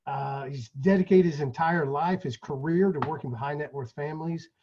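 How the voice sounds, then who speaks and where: A man's voice: on the high side, moderate pace, mellow, slight background noise. A man hosting an event, giving an informal live introduction in an effusive, chummy manner. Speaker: event host introducing a speaker. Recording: dull lo-fi audio.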